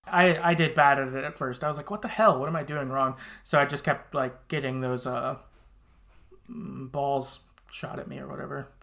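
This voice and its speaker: A man with noticeable vocal fry hosts a casual podcast. He talks informally, as a supportive listener would, with some mild swearing. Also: mid-range voice, fairly even intonation, normal speed, sharp tone. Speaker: podcast host.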